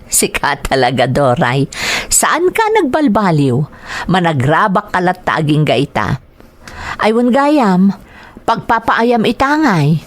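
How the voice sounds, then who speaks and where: Woman playing a low-voiced, bantering wife. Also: very animated, takes it slowly. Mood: amused. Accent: Ilocano. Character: friendly, modest wife minding her shop.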